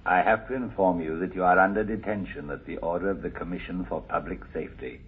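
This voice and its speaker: Through a tinny intercom filter comes a deep British officer. He is stern and impersonal in radio drama style, with faint noise. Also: quick pace. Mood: official, cold.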